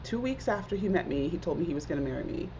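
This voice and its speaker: Earnest low-voiced woman from Zimbabwe. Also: expressive, very fast, dark tone, slight background noise. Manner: earnest, reflective, many "like" fillers. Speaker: interview guest, entrepreneur. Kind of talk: casual conversational interview. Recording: dull lo-fi audio.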